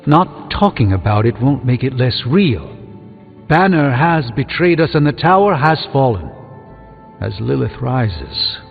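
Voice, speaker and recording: A grave, learned sorcerer hero speaks in formal, theatrical phrases with a haughty edge. The game audio is slightly dull, and loud music plays underneath. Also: male voice, mid-range voice, big pitch swings, a little slow, gravelly. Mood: stern. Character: solemn, erudite, haughty male sorcerer superhero. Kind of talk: video game voice acting.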